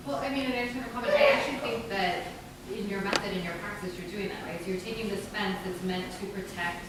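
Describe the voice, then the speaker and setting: A woman's voice: medium pitch, fast talker. A woman in the audience giving a thoughtful comment, often rephrasing and checking in with tag questions. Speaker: audience member. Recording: echoey room, distant mic, audible noise.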